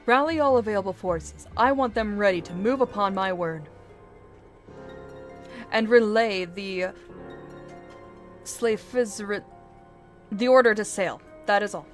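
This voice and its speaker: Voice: medium pitch, measured pace, long pauses, soft background music, close to an everyday voice. A stern admiral barking orders, as a streamer puts her on. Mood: commanding. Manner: mock-commanding game dialogue read aloud.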